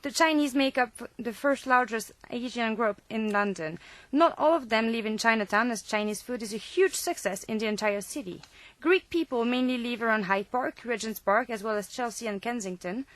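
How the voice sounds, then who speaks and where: A woman with a higher voice presents a student radio show, reading her script in a clear, even way. The studio sound is close and dry. French is her first language. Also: moderate pace, dry recording.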